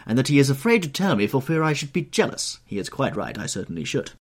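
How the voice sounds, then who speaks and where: A man's voice: on the low side, big pitch swings, fairly quick, breathy edge, heavily acted. A man voicing a witty, teasing older society lady in an audiobook, exclamatory, arch and playfully scolding. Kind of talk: audiobook character voice.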